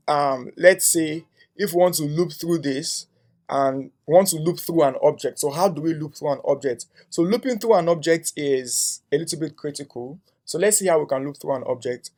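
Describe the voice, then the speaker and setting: Young male coding tutor, slightly high voice, thinks aloud and checks in with "right?". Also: darkish tone. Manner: thinks aloud while typing, frequent "um" and tag "right?". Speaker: coding instructor. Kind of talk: screen-share coding tutorial.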